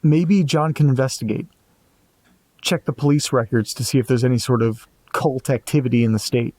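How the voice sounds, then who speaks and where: A man playing an anxious amateur investigator in a horror audio drama, muttering hesitantly to himself in a gravelly voice. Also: mid-range voice, moderate pace, darkish tone, no room sound, lightly acted. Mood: puzzled, musing. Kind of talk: audio drama monologue, reading and musing aloud.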